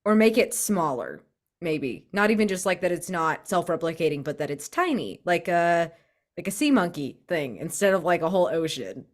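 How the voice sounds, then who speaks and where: A woman in casual tabletop game chat, thinking aloud in an animated, gushing way. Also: lowish voice, normal speed. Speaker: tabletop RPG player.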